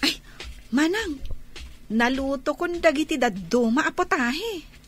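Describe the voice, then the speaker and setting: A woman's voice: sits high, expressive, measured pace, a bit bright. A woman playing a humble, remorseful young daughter in a Philippine radio drama.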